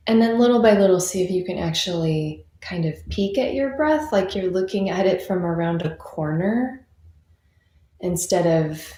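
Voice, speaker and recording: Woman doing guided meditation, slightly low voice, conversational n reassuring, echoey room. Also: dark tone. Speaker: meditation teacher. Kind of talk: guided meditation, gentle unscripted instruction. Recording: roomy, echoing sound.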